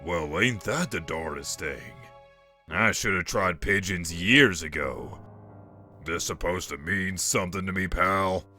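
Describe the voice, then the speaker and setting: A man voicing a crotchety old walrus convict in a comic dub, gruff and folksy with streetwise slang. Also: deep voice, measured pace, pushed and bright, soft background music, full character voice. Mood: sardonic.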